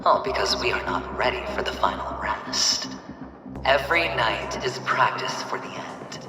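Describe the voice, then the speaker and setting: An actor playing a flamboyant, cunning young gambler in a video game, with a theatrical, taunting, drawn-out delivery. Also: male voice, mid-range voice, huge pitch swings, far from the mic. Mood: ominous, philosophical. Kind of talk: video game cutscene acting. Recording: echoey hall reverb, music behind. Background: music.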